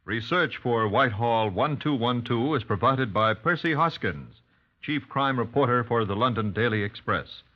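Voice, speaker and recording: A man's voice: medium pitch, expressive, moderate pace. A man announcing from a script in formal 1950s radio style. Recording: noisy, dull 1950s radio recording.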